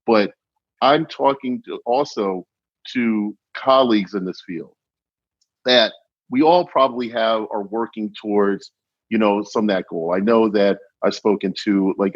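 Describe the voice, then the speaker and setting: A man speaking as a guest on a podcast panel, enthusiastic and loud, often restarting his sentences. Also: fairly low pitch, moderate pace, no room sound, muffled recording. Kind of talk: panel discussion.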